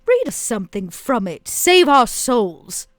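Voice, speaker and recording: Casting: woman as flirtatious vampire lady, teasing and coy, very lively intonation, close dry sound. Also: a little high, measured pace, no echo. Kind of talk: in-character tabletop roleplay.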